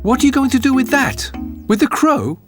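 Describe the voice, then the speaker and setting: A man's voice: very high-pitched, very animated, normal speed, music in the background, voice pushed up. A man voicing proud, scornful brothers, mocking and haughty, in a storybook reading. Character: two proud scornful young men, learned and mocking.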